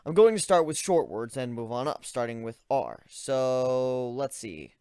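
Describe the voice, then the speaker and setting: A man's voice: mid-range voice, animated, unhurried, darkish tone, clean tone, no room sound, studio quality. A man voicing a young griffin inventor, analytical and weary, in a dramatic story reading. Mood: focused, explaining. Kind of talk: dramatic reading of first-person fan fiction.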